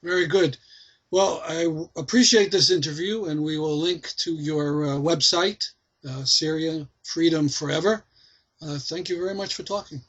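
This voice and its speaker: Husky-voiced male interviewer. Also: a little high, normal speed, fairly bright tone, dull audio. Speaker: interview host. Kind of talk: interview questions.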